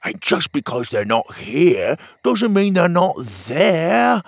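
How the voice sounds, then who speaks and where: A cheerful young companion, put on by a male storyteller teases and reassures in a raised, animated voice. It keeps a gravelly, husky grain. The recording is dry and close. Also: a little high, slow, no echo, pitched above the performer's own voice, full character voice. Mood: reassuring. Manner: teasing, reassuring.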